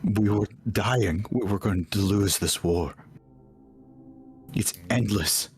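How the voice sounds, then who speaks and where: A man playing a guilt-ridden, tormented fallen follower of a light god in a tabletop game, speaking haltingly and pleading. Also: fairly low pitch, a little mellow. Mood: grim, weary. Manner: halting, faltering, pleading delivery. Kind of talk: tabletop roleplay acting.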